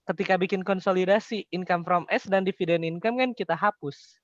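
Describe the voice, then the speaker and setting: A young man with a slightly high voice teaches in a casual, explanatory way. He poses questions and answers them himself. The recording is a little dull. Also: fairly quick, dry recording. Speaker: accounting tutor. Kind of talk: informal explanatory tutoring.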